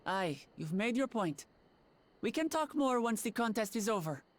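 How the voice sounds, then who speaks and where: A woman's voice: a little high, big pitch swings, normal speed. A woman voicing an earnest, bold young princess in a fantasy game, with a determined, declarative delivery. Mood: resolute. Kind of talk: fantasy video game acting. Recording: game music behind the voice. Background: music.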